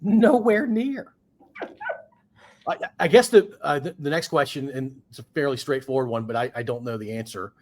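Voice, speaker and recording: A man hosting a podcast, asking questions in a halting, hesitant way full of restarts. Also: mid-range voice, a little mellow. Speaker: podcast host. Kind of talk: casual conversational interview.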